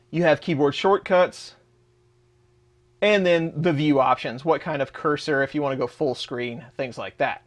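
A man in his thirties, his voice sitting a little on the high side, walks through a software setup one step at a time. He talks the way a home tech host does, steady and explanatory, recorded in his workshop. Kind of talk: screen-recorded tutorial walkthrough.

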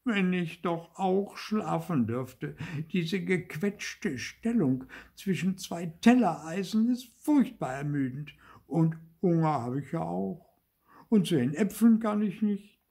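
A man's voice: high voice, lively intonation, slow pace, dark tone, slightly rough, pitched above the performer's own voice, exaggerated voice. A man voicing a cheeky little puppet boy in a children's story, whining and protesting theatrically. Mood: self-pitying whimper. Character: cheeky, whiny little male puppet boy, indignant wisecracker. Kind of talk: dramatised children's storybook reading.

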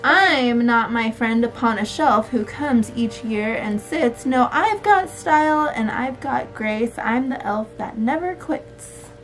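A woman in her thirties reading a storybook and chatting with a young child in an expressive, sing-song way. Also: a little high, takes it slowly, hardly any pauses, faint music behind, low-quality recording. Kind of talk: storybook read-aloud and casual chat with a child. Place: small room at home, indoors.

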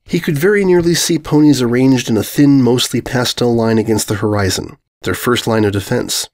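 A man's voice: medium pitch, normal speed, a little mellow. Man narrating with a dry, wry delivery. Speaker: story narrator. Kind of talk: scripted narration of comic fan fiction.

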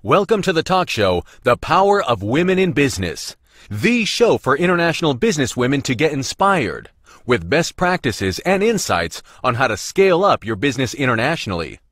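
Lively male announcer, dry sound. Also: medium pitch, moderate pace, dry recording. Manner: announcer read. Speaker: show announcer. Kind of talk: scripted introduction.

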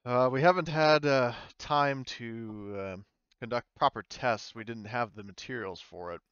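A man's voice: mid-range voice, a little slow, fairly bright tone, clean tone, no echo, dull audio, close to an everyday voice. A man playing a polite, cautious male scientist in a tabletop game, speaking hesitantly and formally. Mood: hesitant, guarded.

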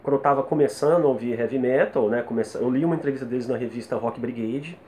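A man's voice: medium pitch, quick pace, mellow. A Brazilian man in his thirties reviews music casually to camera in a conversational way, often adding little tag questions, speaking Brazilian Portuguese. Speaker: music reviewer, video host. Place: small plain room indoors. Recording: noisy home room ambience.

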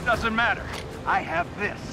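An actor playing a smug, menacing villain who taunts in a video game cutscene. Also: male voice, high-pitched, measured pace, loud music behind the voice. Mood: taunting.

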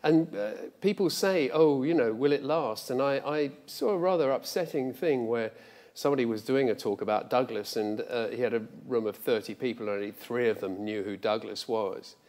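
A middle-aged man with a mid-pitched voice, talking as an interview guest on a lecture theatre stage. He is reflective and emphatic, speaking conversationally. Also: normal speed, a little mellow. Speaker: guest, audio drama director.

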